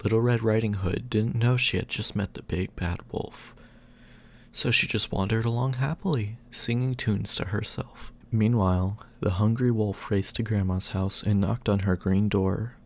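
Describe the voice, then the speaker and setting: A man's voice: fairly low pitch, steady tone, moderate pace. A man doing affectionate ASMR bedtime storytelling as a boyfriend, in a soothing manner.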